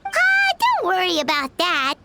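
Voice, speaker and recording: Tiny cocky game fairy, childlike squeak, extremely high pitch, bouncy intonation, excitable. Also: female voice, unhurried, creaky, heavy background noise, heavily acted. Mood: cheerful, confident. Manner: excitable, exclamatory. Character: small childlike flying fairy companion, chirpy and cocky. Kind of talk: video game voice acting. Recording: music behind the voice.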